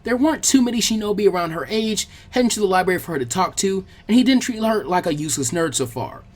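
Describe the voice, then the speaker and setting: A man's voice: high voice, expressive, fast. A man reading a scripted story aloud as its narrator.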